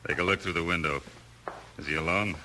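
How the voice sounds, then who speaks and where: Actor as low-voiced menacing western outlaw. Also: male voice, steady tone, fast talker, lightly acted. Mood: sly, confident. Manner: threatening, sly, rough frontier talk. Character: menacing outlaw boss running protection racket. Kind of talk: 1950s western radio acting. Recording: noisy vintage 1950s radio recording.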